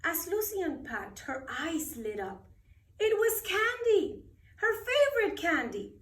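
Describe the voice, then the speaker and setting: A woman reading a bedtime story aloud expressively, with dramatic, deliberate phrasing for children. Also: very high voice, big pitch swings, a bit bright. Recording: roomy echo, distant microphone.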